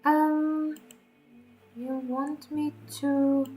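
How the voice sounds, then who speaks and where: A woman's voice: high-pitched, flat delivery, drawn-out words, mellow, soft background music, pitched above the performer's own voice. A woman voicing a befuddled, timid young woman in a visual novel, hesitant and questioning. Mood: puzzled.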